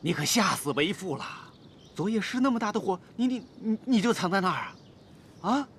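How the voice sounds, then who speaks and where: An actor playing a calculating, fatherly duke nearing fifty in a Chinese period drama, speaking with measured authority. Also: low voice, expressive, a little hiss or hum. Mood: alarmed, fussing. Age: middle-aged.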